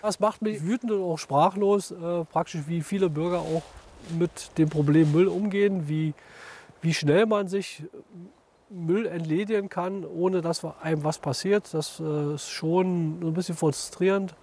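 A man's voice: high-pitched, fairly even intonation, measured pace, a little mellow. A man speaking spontaneously in an interview as a forestry official.

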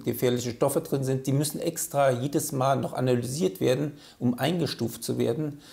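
A man explaining things in an interview as an official, with halting, hesitant phrasing. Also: mid-range voice, creaky, dark tone. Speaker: forestry enforcement official, interviewee.

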